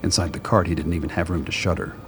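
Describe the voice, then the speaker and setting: A man with a gravelly voice reading scripted dramatic story narration. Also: sits low, fairly even intonation, fast talker, slight background noise.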